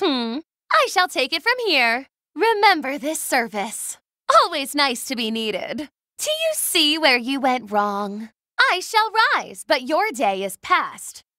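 A woman's voice: high-pitched, sharp tone, no room sound, clean studio sound, exaggerated voice. A woman voicing a haughty young noblewoman mage in a video game, theatrical and proud, with formal, lofty diction. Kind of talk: video game battle voice clips.

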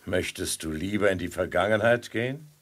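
An actor playing a calm, commanding prince and master in a 1950s radio drama, measured and authoritative. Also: male voice, sits low. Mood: calm authority.

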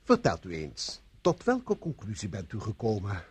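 An actor playing a stern, impatient police inspector in a radio play, brisk and authoritative. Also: male voice, lowish voice, huge pitch swings, a little mellow, slightly rough, full character voice. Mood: brisk, formal.

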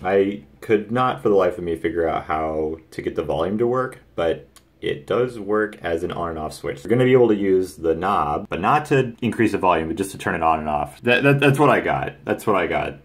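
Low-pitched man, casual DIY vlogger. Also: fairly quick. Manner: informal, enthusiastic. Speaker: DIY video maker, host. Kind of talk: casual hobby project vlog, talking to viewers. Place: indoors, small home room.

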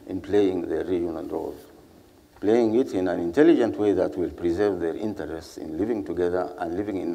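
An older man with a Sudanese accent speaking as a panelist, in a measured, deliberate way with earnest rhetorical repetition. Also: low voice, gravelly, dark tone. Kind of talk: panel speech, earnest public address. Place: seated on a stage in a large hall. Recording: echoey hall with long reverb.

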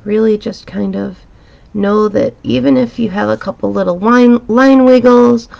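Slightly raspy woman, calm drawing teacher. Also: mid-range voice, unhurried, muffled recording. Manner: calm, chatty, reassuring, with frequent ums. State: slightly raspy from a dusty room. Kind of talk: relaxed step-by-step drawing tutorial. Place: home art studio, indoors.

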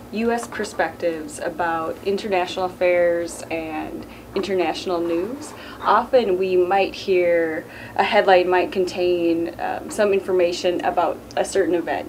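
A woman's voice: on the low side, darkish tone. A woman in her thirties moderating a roundtable discussion, phrasing things formally and carefully in a conference room. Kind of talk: measured panel moderation. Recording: distant mic, hissy room ambience.

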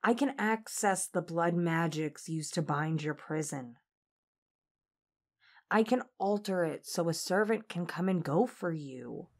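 A woman's voice: lowish voice, lively intonation, a little slow, a little mellow. A woman playing a resolute, regal demon princess in an audio drama, speaking in an earnest, formal way. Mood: persuading. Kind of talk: audio roleplay drama acting.

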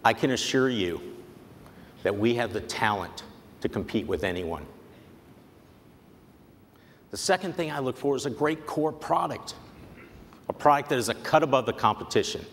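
Earnest executive man on a convention stage. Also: medium pitch. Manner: measured, earnest, persuasive delivery. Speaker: company executive giving a keynote. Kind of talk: prepared convention speech. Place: large convention hall stage. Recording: long hall reverb, faint background noise.

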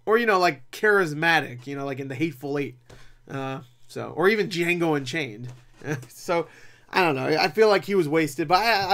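A young man giving a casual, unscripted movie review in a rambling, self-deprecating way with animated asides. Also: a little high. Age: young adult. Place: small cluttered room at home, indoors.

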